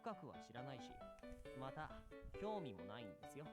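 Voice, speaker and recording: Polite, brisk, sardonic young man, acted. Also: mid-range voice, big pitch swings, natural voice. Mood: polite, detached. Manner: courteous formal speech with dry barbs. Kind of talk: Japanese visual novel voice acting. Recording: game music behind the voice.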